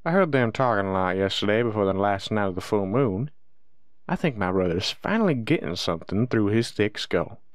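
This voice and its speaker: Joking werewolf brother male actor for audio drama. Lowish voice, playful, dry recording. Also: lively intonation, a little fast, no echo, natural voice. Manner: playful, teasing. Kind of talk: roleplay audio drama acting.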